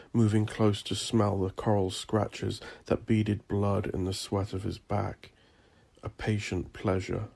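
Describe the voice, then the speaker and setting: A man reading dark fantasy horror as a scripted story, with a measured, deliberate delivery. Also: lowish voice, creaky, breathy edge. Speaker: audiobook narrator.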